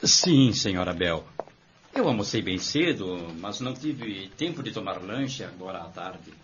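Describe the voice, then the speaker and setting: A man's voice: deep voice, lively intonation, measured pace, slight background noise. A man playing a polite, grateful travelling salesman in a radio drama, speaking formally and courteously. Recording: dull, lo-fi home recording.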